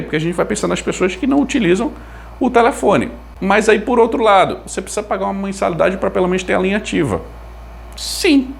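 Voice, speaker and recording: A man's voice: high voice, creaky, a little hiss or hum. A Brazilian man giving an informal explanation to viewers, thinking aloud in a conversational way with rhetorical questions. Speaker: video creator explaining consumer telecom topics.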